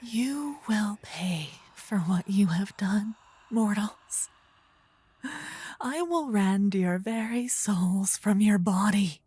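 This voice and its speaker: A woman's voice: mid-range voice, slow, mellow. A woman playing a possessive demon queen, doting yet wrathful, in a whispery ASMR fantasy roleplay with grand, theatrical phrasing. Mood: vengeful. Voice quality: breathy, whispery.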